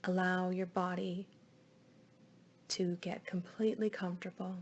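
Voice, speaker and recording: A woman leading a guided meditation in a soothing, hushed voice, with phrases often trailing into breath. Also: fairly low pitch, little pitch movement, unhurried, lo-fi sound, a little hiss or hum.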